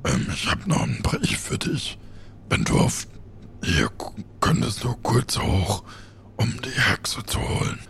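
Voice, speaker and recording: A man's voice: fairly low pitch, sing-song, gravelly, a bit bright, a little hiss or hum, heavily acted. A man playing a wary, hesitant innkeeper in an improvised audio drama, drawing out his words. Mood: hesitant, protective. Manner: hesitant, drawn-out, swooping. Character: wary, cagey innkeeper with a cat.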